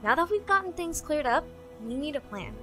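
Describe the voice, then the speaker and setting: A woman's voice: high voice, big pitch swings, fairly quick, music in the background. A woman playing a proud, determined young cartoon princess with a firm, commanding manner.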